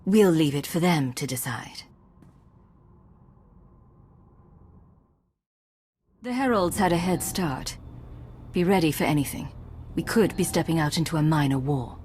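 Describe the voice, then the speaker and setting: A woman's voice: low voice, lively intonation, moderate pace, a little mellow, a little hiss or hum. An actress playing a composed, shrewd female agent and commander in a video game, with a controlled, dry delivery. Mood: steady, decisive. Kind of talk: scripted video game acting.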